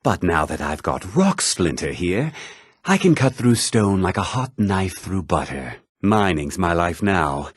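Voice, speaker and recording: An actor playing a proud, gruff miner and former soldier in a video game. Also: male voice, deep voice, sing-song, measured pace, dry recording, studio quality, exaggerated voice. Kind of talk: video game character lines.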